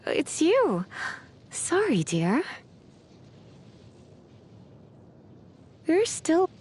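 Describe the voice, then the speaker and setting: Languid librarian sorceress for a fantasy game: highish voice, unhurried, coy and teasing drawl. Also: female voice, darkish tone, slightly rough, full character voice. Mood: drowsy. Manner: flirtatious, drawling, sleepy. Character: languid, teasing adult female mage turned librarian. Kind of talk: video game character voice lines. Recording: music behind the voice.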